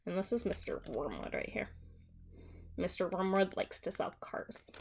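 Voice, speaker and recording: A woman teacher reading a simplified children's story aloud and explaining it in a deliberate, simple way for young children. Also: medium pitch, sing-song, gravelly, breathy edge.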